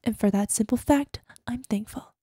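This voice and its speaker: A woman's voice: on the high side, normal speed, dark tone, no echo, clean studio sound. A woman playing an obsessive, possessive girlfriend in an ASMR roleplay voicemail, sweetly menacing, dropping into whispers. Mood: unhinged, brooding. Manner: breathy asides, drops into whispers, syrupy pet names.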